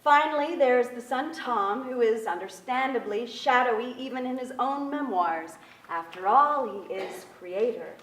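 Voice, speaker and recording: A woman's voice: fairly high pitch, unhurried, a bit bright, slight background noise. A woman in her thirties giving a formal scripted narration from a stage. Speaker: presenter reading at a staged reading. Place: on a theater stage, indoors. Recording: echoey theater, distant pickup.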